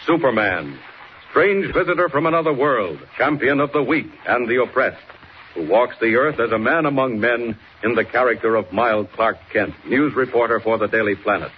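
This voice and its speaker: A man's voice: medium pitch, lively intonation, normal speed. A man announcing and narrating in a dramatic 1940s radio serial style. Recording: muffled, faintly noisy old radio recording.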